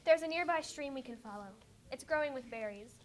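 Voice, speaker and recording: A woman playing a calm, protective young woman who can speak with the forest, in an amateur radio drama. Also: sits high, brisk.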